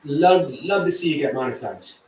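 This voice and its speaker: A man's voice: on the high side, animated, normal speed, reverberant, recorded from a distance, rough recording. A man hosting a live stream, chatting casually and reading viewer comments aloud. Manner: chatty, warm to viewers, reads chat aloud. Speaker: livestream host.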